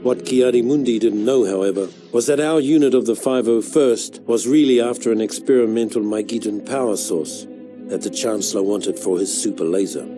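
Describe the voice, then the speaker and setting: An actor playing a world-weary veteran clone soldier, narrating his war memories in a measured, solemn way for a video game. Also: lowish voice, music in the background, close to an everyday voice. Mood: grim, matter-of-fact. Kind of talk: scripted narration, military memoir.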